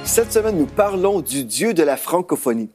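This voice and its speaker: A man's voice: a little high, sing-song, moderate pace, over music. A man with a Canadian French accent, a pastor and host teaching in a conversational televised sermon style.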